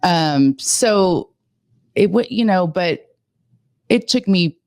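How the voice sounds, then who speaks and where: A woman in her fifties from Southern California, a talk show guest, speaking reflectively and haltingly. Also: on the low side, expressive, darkish tone, dry recording, studio quality. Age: 50s. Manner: reflective, halting, self-interrupting.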